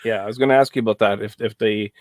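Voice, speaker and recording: A man hosting a casual live stream, chatting and joking in a relaxed way with many fillers. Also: fairly low pitch, rapid-fire, dry recording, studio quality. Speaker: host.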